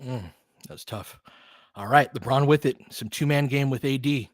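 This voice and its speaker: A man with a mid-pitched voice calls a basketball game live. His delivery is informal and partisan, built from short clipped phrases, and excitable when the play heats up. The sound is dry, with no room around it. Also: normal speed, no room sound. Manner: partisan, excitable on big plays. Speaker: fan play-by-play commentator.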